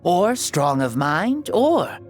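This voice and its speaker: A woman's voice: fairly low pitch, very animated, slow, a little mellow. A woman playing a grand, jovial, honorable ancient male warrior hero in a video game, speaking in a stately, ceremonious way. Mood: musing, challenging. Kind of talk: dramatic video game voice acting. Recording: game dialogue over music. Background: game music.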